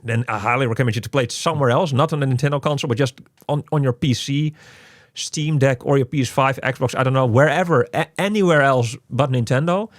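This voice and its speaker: A man hosting a casual game review, speaking haltingly and often repeating his words. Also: mid-range voice, no echo, clean studio sound. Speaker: podcast host reviewing a video game.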